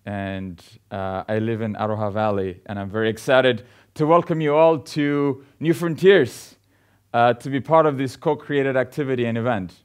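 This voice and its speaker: A man, giving an earnest, measured conference keynote on a lapel mic in a large hall with an audience. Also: medium pitch, fairly even intonation, smooth. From Ethiopia. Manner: earnest, measured delivery with frequent pauses and fillers. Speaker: CEO giving a keynote talk. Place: large indoor event hall with a seated audience.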